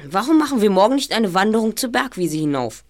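A woman playing a methodical boy detective who leads his trio in an audio drama, explaining deliberately and decisively. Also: mid-range voice, very animated, a little mellow, lightly acted. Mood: deliberate, reasoning. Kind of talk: amateur audio drama acting.

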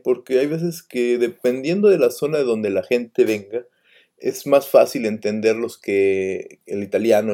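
A man's voice: medium pitch, dark tone. A man hosting a radio show in casual, rambling talk, full of hesitations and fillers. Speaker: radio host.

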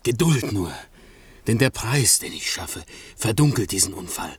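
An actor voicing a servile, scheming island monster in a classical radio play, husky, fawning and urgent, with diction. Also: male voice, lowish voice, expressive, unhurried, no room sound, full character voice. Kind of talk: classical German radio drama acting.

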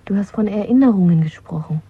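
An actress playing a young, pragmatic, questioning secret lover in a German radio drama, with a husky, breathy voice. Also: female voice, mid-range voice, expressive, fast talker. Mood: guarded, matter-of-fact. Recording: dry, narrow phone-like sound.

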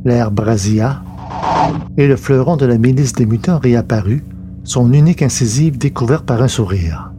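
A man's voice: mid-range voice, normal speed, noisy background. A man narrating a science fiction novel in a scripted, literary storytelling style.

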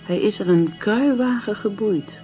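Radio drama: actress as a restless, earnest young lady of middling pitch, heard on a slightly muffled recording. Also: unhurried, faint music behind, natural voice. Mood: curious, observant. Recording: dull lo-fi radio play recording.

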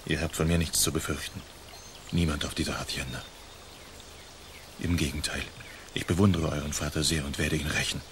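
Very deep, hushed, gallant outlaw. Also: male voice, fairly even intonation, fairly quick, pauses often, a little hiss or hum. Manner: hushed, seductive, courtly. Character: bold, charming masked outlaw, ardent and gallant suitor. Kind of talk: radio play acting.

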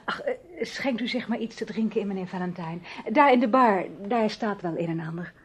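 A woman's voice: mid-range voice, a little fast, husky, lightly acted. A woman playing a famous actress in a Dutch radio play, polite, guarded and a little hesitant in a theatrical way. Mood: light, friendly.

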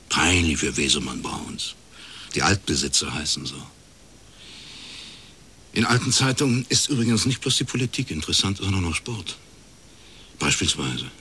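An actor playing a shrewd, dry-witted private detective in a radio crime drama, laconic and deadpan. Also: male voice, deep voice, husky, full character voice. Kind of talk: naturalistic German radio crime drama acting.

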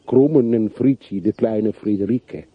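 A man's voice: on the low side, measured pace, slightly rough, dry recording. An actor playing a bitter, world-weary middle-aged man in a radio play, speaking tersely and broodingly on an old recording. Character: bitter, world-weary man revisiting his hometown's dead. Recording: muffled, phone-like, noisy old radio recording.

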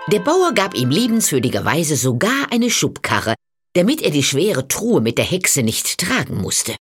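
A man narrating a fairy tale in a dramatic, measured, scripted storytelling style. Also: fairly high pitch, wildly swooping pitch, slightly rough. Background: background music.

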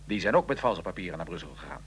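A man's voice: low-pitched, expressive, vocal fry, slight background noise. An actor playing a proud Italian revolutionary assassin of the nineteenth century, recounting his plot in a theatrical, emphatic radio-drama manner. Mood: dramatic recounting. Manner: theatrical, emphatic, measured storytelling. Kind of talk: radio drama acting, interview-like dialogue.

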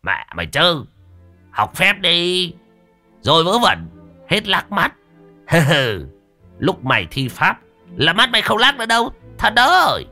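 A man voicing a gruff old male exorcist who talks in a coarse, mocking, commanding way. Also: sits high, wildly swooping pitch, slow, pauses often, sharp tone, soft background music, voice pushed up, full character voice.

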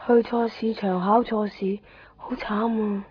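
An actress playing a childlike, fretful young student in a radio drama, with a plaintive, whiny way of talking. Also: female voice, mid-range voice, fairly even intonation, measured pace, slight background noise, lightly acted. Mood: sulky, calming. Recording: dry, muffled 1990s radio drama.

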